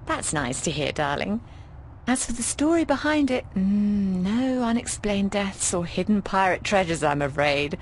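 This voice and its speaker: A woman's voice: mid-range voice, big pitch swings, measured pace, a little hiss or hum. An actress playing a worldly, teasing middle-aged landlady in a video game, breezy and wry, with a theatrical drawl. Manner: theatrical, drawling, calls people darling. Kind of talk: video game dialogue acting.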